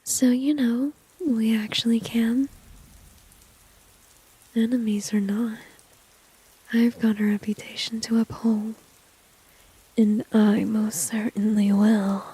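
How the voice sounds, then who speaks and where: Woman acting breathy whispering flirty villainess. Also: fairly high pitch, little pitch movement, takes it slowly, long pauses, mellow, exaggerated voice, poor audio. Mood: coaxing, confident. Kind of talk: ASMR roleplay, intimate whispering. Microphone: close microphone, dry.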